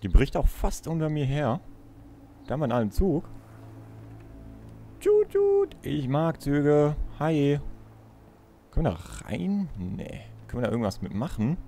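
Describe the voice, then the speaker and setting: A man's voice: on the high side, huge pitch swings, measured pace, pauses often, mellow, a little hiss or hum. A young man giving casual let's play commentary, chatting and thinking aloud as he goes. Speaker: let's player.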